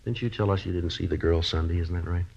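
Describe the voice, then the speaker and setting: An unflappable male detective with an extremely low voice. He fires out terse, deadpan lines very fast, in 1950s radio drama style. The old recording is heavily echoey. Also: creaky, highs cut off. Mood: flat, pressing. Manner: clipped, deadpan.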